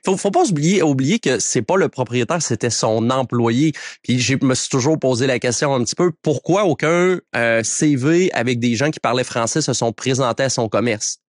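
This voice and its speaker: A man's voice: mid-range voice, moderate pace, hardly any pauses, dry recording, studio quality. A man with a Quebec French accent, a business co-owner answering interview questions with hesitant restarts.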